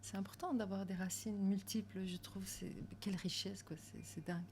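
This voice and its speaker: A woman's voice: mid-range voice, steady tone, moderate pace, a little mellow, a little hiss or hum. A woman film director talking as a guest in a café, reflective, with frequent fillers. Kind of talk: casual interview chat.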